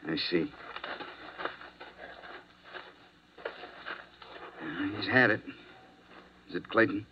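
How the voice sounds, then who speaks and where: A man's voice: deep voice, normal speed, slight background noise, natural voice. An American actor playing a terse, laconic man on a search in a 1950s radio drama. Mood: grim. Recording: muffled vintage radio recording.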